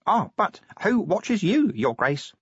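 A man's voice: sits high, sing-song, takes it slowly, husky, no echo, dull audio, pitched above the performer's own voice, full character voice. A man voicing a small, prim, pedantic inspector for an audiobook, formal and precise, with polite hesitant openings. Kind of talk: audiobook character acting.

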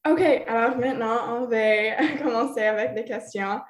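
A woman's voice: a little high, normal speed, reverberant. A woman with an anglophone Ontario accent in French, a native English speaker hosting a podcast, reading her introductions carefully. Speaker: podcast host. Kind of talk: reading scripted introduction, then interviewing.

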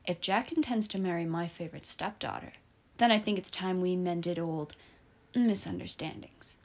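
A woman's voice: lowish voice, moderate pace. A woman voicing a smug, menacing older male gangster with a purring, patronizing manner as she reads her own story aloud. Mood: smug menace. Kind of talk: reading own fiction aloud.

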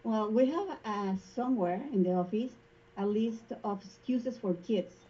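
A woman's voice: mid-range voice, unhurried, dark tone, husky, lo-fi sound. A woman with a Spanish accent who works in a school front office, telling stories in a casual interview and laughing often. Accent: Spanish-speaking.

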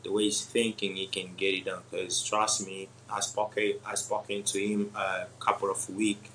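A young man from Congo with French or Swahili as his first language, answering interview questions in a halting way with many pauses and laughs. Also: low-pitched, level tone, pushed and bright, slight background noise, low-quality recording. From Democratic Republic of the Congo. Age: young adult. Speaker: MMA fighter guest.

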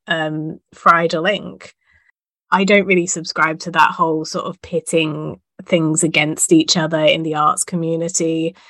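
A woman's voice: on the low side, fairly bright tone, dry recording. A woman hosting a casual podcast interview, hesitant and self-deprecating, laughing often. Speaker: podcast host and editor.

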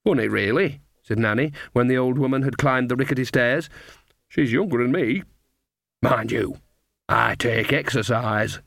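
A man's voice: mid-range voice, normal speed, creaky, a bit bright, no room sound. A man voicing a cheerful, earthy old woman witch with a folksy, chatty manner.

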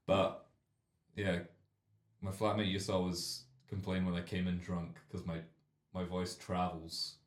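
A young man in his twenties hosting a comic chat show, loud and rambling, with a deep carrying voice that is a bit phlegmy. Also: flat delivery, a little slow, long pauses. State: phlegmy, clearing throat. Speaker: radio show host. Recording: echoey studio room.

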